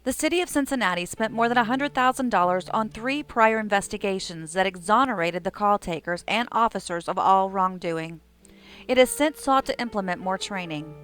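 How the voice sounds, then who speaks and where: A woman's voice: mid-range voice, fairly bright tone. A woman reading scripted documentary narration.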